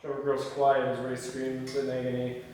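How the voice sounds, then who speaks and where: A man's voice: mid-range voice, monotone, moderate pace, a little mellow. A man at a film table read, reading out screenplay directions and talking casually with the crew. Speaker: stage-direction reader and film crew member. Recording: echoey hall, distant mic, faint noise.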